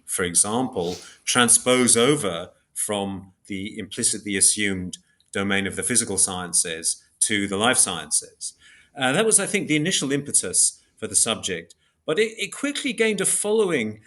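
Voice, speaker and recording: A man speaking as an academic interview guest, explaining in a measured, scholarly way and pausing to search for words. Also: on the low side, bright, forceful tone.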